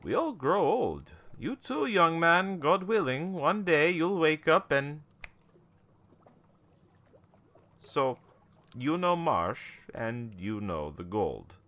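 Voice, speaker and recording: A man's voice: a little high, sing-song, slow, fairly bright tone, full character voice. An actor playing a wary, cynical old townsman who tells cryptic stories in a radio play, in a theatrical, rambling way. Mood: ominous. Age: older. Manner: cryptic, theatrical, rambling storytelling with knowing chuckles. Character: wary, cynical old male townsman, former shopkeeper, storyteller.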